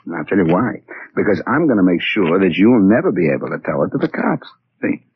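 An actor playing a scheming, sarcastic American racketeer in 1940s radio crime drama style, with a husky voice. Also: male voice, low-pitched, big pitch swings, brisk, full character voice. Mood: menacing. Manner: sneering, sarcastic tough-guy talk. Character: scheming male racketeer, menacing, sarcastic, cocky. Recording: dull old radio recording.

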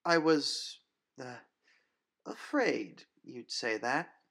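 Man voicing a nervous, sweet young woman, pitched up. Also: very animated, very slow, gravelly, a bit bright, slightly rough, full character voice. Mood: strained politeness. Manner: hesitant, stammering, polite. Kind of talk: audio story character dialogue.

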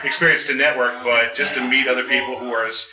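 A man's voice: medium pitch, flat delivery, fast talker, crowd noise. Wry man on an echoey, distant mic. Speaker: audio drama creator, writer and panelist. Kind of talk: casual panel chat. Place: outdoor hotel courtyard at a convention.

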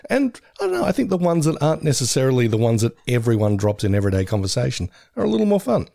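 A man's voice: medium pitch, big pitch swings, racing, vocal fry, mellow, no echo, clean studio sound. A man co-hosting a casual podcast, joking around and laughing often. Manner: jokey, self-mocking, laughs often. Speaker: podcast co-host.

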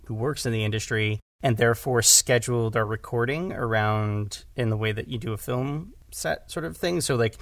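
A man's voice: on the low side, fairly bright tone, no echo. A man who directs audio drama, talking casually in a podcast conversation and often correcting himself as he goes.

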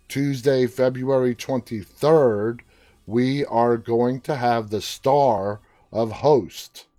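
A man's voice: mid-range voice, warm tone. A man from New York with a New York accent, hosting a casual live show and chatting as he reads viewer comments. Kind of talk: casual live-stream chat.

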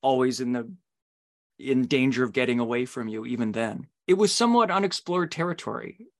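A man's voice: medium pitch, brisk. A man hosts a podcast interview. He talks in a conversational, discursive way, taking his time to build toward his questions.

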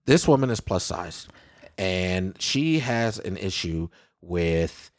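A man's voice: low voice, expressive, slow, dry recording, clean studio sound. A man hosting a comedy podcast, ranting theatrically and peppering his talk with "okay?" and "I digress.". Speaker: podcast host. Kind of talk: casual comedic podcast banter.

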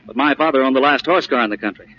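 A man's voice: medium pitch, racing, close to an everyday voice. An actor playing a headstrong, carefree rich young man in love in a 1940s radio drama. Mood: breezy, devoted. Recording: dull, faintly noisy old radio recording.

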